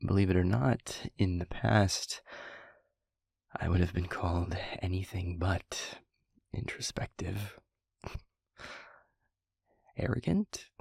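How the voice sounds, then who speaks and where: Male demon played by a man, introspective and tender, once arrogant. Husky, gravelly half-whisper, close and dry, for intimate audio roleplay. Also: sits low, darkish tone, no echo, exaggerated voice. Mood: wry, apologetic. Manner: slow, halting, reflective delivery.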